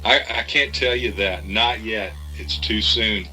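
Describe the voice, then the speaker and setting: A man's voice: fairly low pitch, unhurried, sharp tone, faint music behind, poor audio. An actor playing a secretive insider informant in an audio drama, evasive and cautioning as he talks. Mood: guarded.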